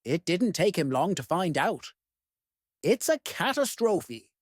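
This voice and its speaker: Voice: medium pitch, big pitch swings, slow pace, dry recording, clean studio sound. A storyteller reading a children's picture book aloud expressively, addressing young listeners. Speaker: read-aloud host.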